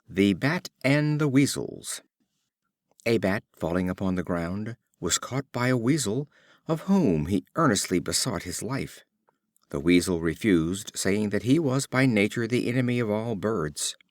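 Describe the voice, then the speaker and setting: A low-pitched man narrates old fables like an audiobook reader. His intonation moves in lively swings, with expressive, measured phrasing. The sound is close and dry. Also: no room sound.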